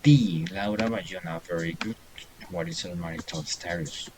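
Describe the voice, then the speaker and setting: A man's voice: deep voice, very animated, normal speed, gravelly, background noise. A middle-aged man teaching a beginner English class online, patiently encouraging students and reading answers aloud.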